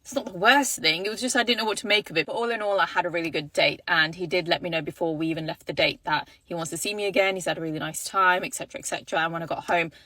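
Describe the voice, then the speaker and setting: A young woman tells a dating story at a quick clip, chatty and casual. She slips into playful voices when she quotes people. The sound is close and dry. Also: on the low side, steady tone, bright, forceful tone, dry recording.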